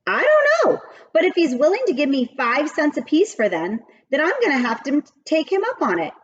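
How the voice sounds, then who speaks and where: A stubborn little schoolgirl from a storybook, played by a woman reader, high and very expressive. She is earnest and quick to take offense, stretching her words in protest. The recording is slightly dull. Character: stubborn young schoolgirl, earnest and easily indignant. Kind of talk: children's storybook read-aloud.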